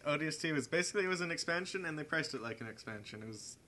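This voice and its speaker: A man's voice: on the high side, expressive, racing, pushed and bright. A young man chatting casually and enthusiastically about video games as a podcast co-host, with firm opinions. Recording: amateur podcast, clear background noise.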